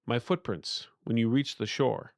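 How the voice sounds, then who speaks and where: A man's voice: mid-range voice, unhurried, gravelly, no room sound, clean studio sound. A man voicing an earnest, pleading uncle whose spirit is trapped, in a fantasy storybook. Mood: calm, persuasive.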